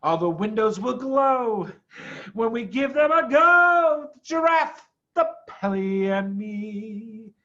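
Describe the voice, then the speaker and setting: A man's voice: extremely high pitch, expressive, slow, bright, forceful tone, pitched above the performer's own voice, exaggerated voice. Man as a bouncy singsong monkey, muffled recording. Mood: cheerful, singing. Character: skinny cheerful boastful singing monkey window cleaner. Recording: dull, muffled sound.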